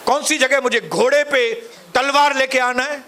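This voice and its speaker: A male pastor preaching a sermon, calling out to the congregation and later praying softly. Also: steady tone, slight background noise. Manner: preaching, rising to shouted calls to the congregation; soft, low, slow in prayer. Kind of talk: sermon and closing prayer.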